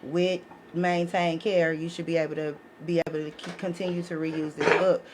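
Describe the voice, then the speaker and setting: A woman's voice: on the low side, level tone, normal speed. An American woman explaining things in a casual, emphatic way as a podcast guest, often checking that listeners follow. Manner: emphatic, punctuates points with check-in questions. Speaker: podcast guest presenting her educational book series. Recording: clearly noisy live podcast recording.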